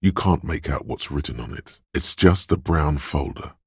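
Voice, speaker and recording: A man voicing the inner sense of perception in a game, measured, watchful and dryly descriptive. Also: very deep voice, breathy edge, no room sound, studio quality, full character voice. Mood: observant. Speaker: voice of a detective's perception skill. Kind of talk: scripted game voice lines.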